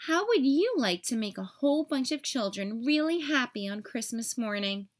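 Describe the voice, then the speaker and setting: A woman voicing a kindly, reassuring old man, a Christmas gift-bringer in a storybook, with an unhurried manner. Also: a little high, expressive, natural voice. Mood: friendly.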